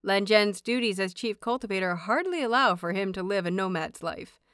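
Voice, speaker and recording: A woman hosting and narrating audio fiction in an expressive audiobook style. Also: mid-range voice, moderate pace, no echo, studio quality. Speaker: host and narrator of an audio fanfiction show.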